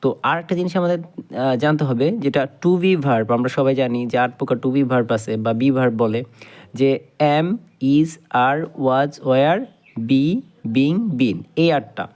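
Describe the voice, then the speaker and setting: A man with a Bangladeshi accent speaks as a teacher in a mid-pitched voice. He explains informally, point by point, going back over key ideas and rewording them. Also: unhurried, darkish tone. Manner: explains step by step, repeating and rephrasing key points. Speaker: English grammar teacher. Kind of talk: informal explanatory lesson to camera.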